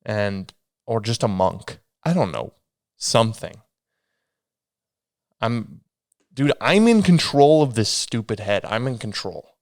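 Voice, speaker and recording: A man's voice: on the low side, big pitch swings, slow, long pauses, no echo, studio quality. A young man hosting a solo podcast, rambling casually with a sniffly, stuffy nose. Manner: rambling, self-deprecating, meandering restarts. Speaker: podcast host.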